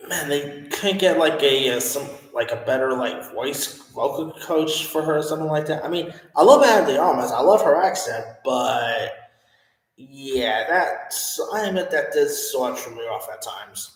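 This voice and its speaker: A man's voice: fairly high pitch, lively intonation, moderate pace. A man giving a casual, rambling film review, full of fillers and restarts. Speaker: movie reviewer. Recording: echoey room with long reverb.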